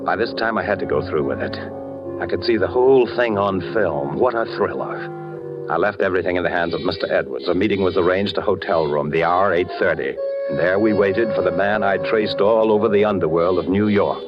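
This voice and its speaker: An actor playing a wary, tough-talking investigator in a 1940s radio thriller, terse, sardonic and slangy. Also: male voice, low voice, a little fast, loud music behind the voice, lightly acted. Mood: hard-boiled narration. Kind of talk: 1940s radio thriller acting with first-person narration. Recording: dull vintage 1940s radio recording.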